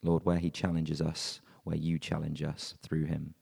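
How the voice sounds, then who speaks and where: A man reading scripture and leading prayer in a measured, earnest way at a church service. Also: rumbling low, completely flat delivery, vocal fry, darkish tone, slightly rough. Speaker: scripture reader and prayer leader. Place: church hall. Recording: long reverb of a church hall.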